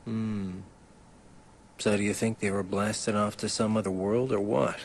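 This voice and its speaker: A man's voice: fairly low pitch, flat delivery, unhurried, a little hiss or hum. An actor playing a brash, impatient young man in a video game, with a slangy, grumbling way of talking. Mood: restless.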